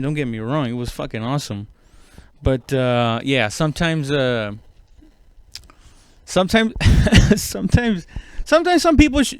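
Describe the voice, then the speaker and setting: A man's voice: medium pitch, smooth, no room sound. A man telling casual, comedic stories as a podcast host, rambling along in slangy, profane talk.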